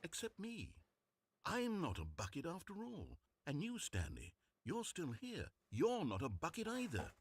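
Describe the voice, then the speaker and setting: A man's voice: on the high side, huge pitch swings, unhurried, husky, no room sound, heavily acted. A man playing a fussy, theatrical video game narrator, articulate, pompous and easily exasperated. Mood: relieved.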